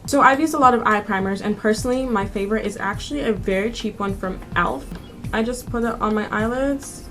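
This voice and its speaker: A woman's voice: fairly high pitch, brisk, fairly bright tone. A woman in her twenties narrating a makeup tutorial in a casual, informal, conversational way as a beauty vlogger. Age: 20s. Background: background music.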